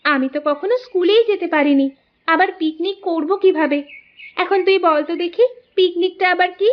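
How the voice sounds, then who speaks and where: A woman's voice: very high-pitched, normal speed. A woman voicing a bossy, curious young village girl, a big sister in a cartoon, with a Bangladeshi accent. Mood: curious, eager. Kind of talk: animated cartoon dialogue. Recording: dull lo-fi audio.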